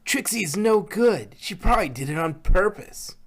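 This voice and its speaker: Man doing a loud, brash young pony filly. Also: high-pitched, lively intonation, normal speed, pitched above the performer's own voice, exaggerated voice. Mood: scornful, cocky. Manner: blunt, cocky, loud.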